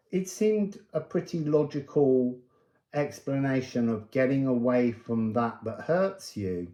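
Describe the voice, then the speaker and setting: A man with a mellow voice gives a spiritual card reading in an echoey room. He speaks very slowly and musingly, wandering from one thought to the next without a script. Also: mid-range voice. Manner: musing, meandering, drifting between thoughts. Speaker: oracle card reader and host.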